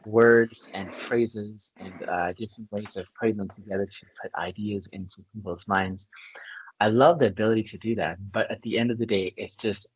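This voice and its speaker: A man's voice: lowish voice, steady tone, normal speed, breathy edge, dull audio. A man from Canada hosting a conversational podcast interview, speaking in an articulate, reflective way and laughing easily. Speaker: podcast host.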